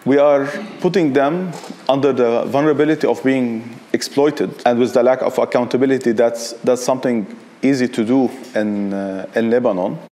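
A man in his thirties speaks earnestly in an office interview, often halting and repeating himself. His voice is mellow and dark, with a faint hiss behind him. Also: medium pitch. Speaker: human rights director interviewed. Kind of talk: interview answers. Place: indoor office.

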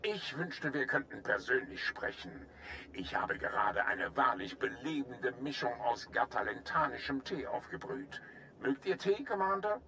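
Bright, courtly alien commander. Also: male voice, medium pitch, animated, a little slow, a little hiss or hum, poor audio. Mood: cordial. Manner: courtly, chatty, refined. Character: genial alien Republic military commander who loves tea. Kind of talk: video game cutscene acting.

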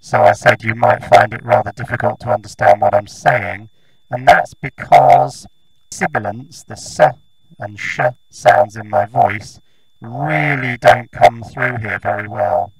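A man's voice: mid-range voice, measured pace. A British man giving a casual, hesitant demonstration through a robotic vocoder that turns his voice into buzzing tones. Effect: robotic vocoder, voice modulating buzzing tones and noise. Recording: noisy, humming vocoder output.

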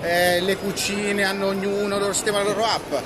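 A man's voice: high voice, a little fast. A man, chatting casually and animatedly to camera as a tech vlogger, recorded on a handheld phone. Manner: animated, joking, conversational. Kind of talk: casual chat to camera while demonstrating products. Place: large, busy trade fair hall. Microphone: handheld phone at arm's length. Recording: busy fair crowd noise behind.

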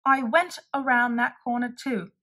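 A woman voicing a cheerful young male frog who tells a childhood story in an animated, emphatic way for small children. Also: fairly high pitch, unhurried. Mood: bright storytelling.

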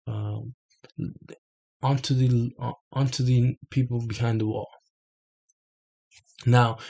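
A man's voice: mid-range voice, vocal fry, mellow. A young man in his twenties in a small bedroom, explaining a picture over a screen share at his desk webcam, hesitant and full of fillers and restarts. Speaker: self-made explainer. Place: small bedroom with red lighting, indoors.

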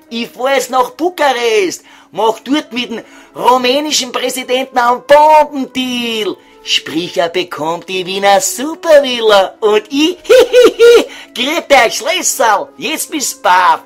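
A man's voice: very high voice, very animated, slow, fairly bright tone, soft background music, full character voice. A man playing a cheeky, foul-mouthed estate agent in a comedy audio sketch, talking colloquially with a Viennese accent. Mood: angry, gloating. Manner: crude, wheedling, colloquial.

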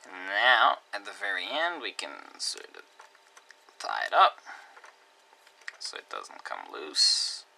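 A man teaching a hands-on electronics project at a desk, talking casually step by step and trailing off quietly as he works. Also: lowish voice, wildly swooping pitch, slow, creaky, pauses often, a little hiss or hum, rough recording. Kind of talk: hands-on DIY tutorial narration. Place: indoor desk workbench.

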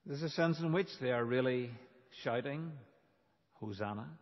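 A man preaching a sermon as a pastor, in a deliberate, emphatic manner with dramatic pauses. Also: medium pitch. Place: large reverberant church. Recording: dull, reverberant church hall recording.

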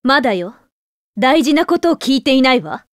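A woman's voice: high voice, big pitch swings, moderate pace, no echo, clean studio sound. A voice actress playing a stern, resolute young noblewoman in an anime game, haughty and commanding.

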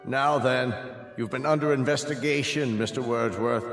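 Radio drama casting: male actor as imperious state chancellor, formal and deliberate, reverberant chamber, faint music. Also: medium pitch, a bit bright, close to an everyday voice. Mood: stern, officious. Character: stern, officious male chancellor of a totalitarian state. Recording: echoey hall reverb.

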